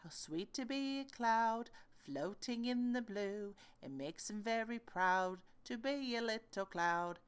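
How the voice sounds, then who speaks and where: A woman voicing an earnest, naive male toy bear who schemes in a deliberate, exclamatory way for a storybook. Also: fairly high pitch, animated, clear, resonant tone, pitched above the performer's own voice. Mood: singsong.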